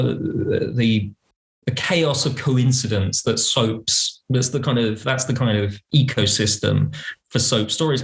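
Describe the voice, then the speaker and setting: A man speaking as a podcast guest, discussing film conversationally in an articulate, opinionated way with emphatic phrasing. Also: mid-range voice. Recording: roomy, echoing sound.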